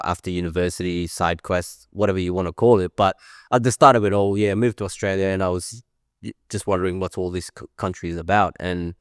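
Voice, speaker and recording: Low, smooth-voiced man in his thirties. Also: a little mellow, dry recording, clean studio sound. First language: French. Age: 30s. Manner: chatty, rambling, many fillers. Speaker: guest, creative director. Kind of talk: casual interview.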